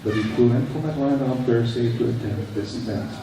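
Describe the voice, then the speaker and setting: An older man from Indonesia gives a prepared speech with dry, plain-spoken humour. He is caught from far off by a camera in a big echoey hall, with a steady hum of noise around him. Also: mid-range voice, brisk. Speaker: speaker at a veterans event.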